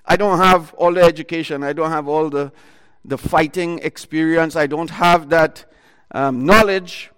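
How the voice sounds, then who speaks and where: A man preaching a sermon into a microphone before an audience, with a loud voice and talk broken by fillers and restarts. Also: on the high side, steady tone, a little slow, smooth, in a large hall. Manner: loud voice, talks in bursts with many fillers and restarts. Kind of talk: sermon retelling a Bible story.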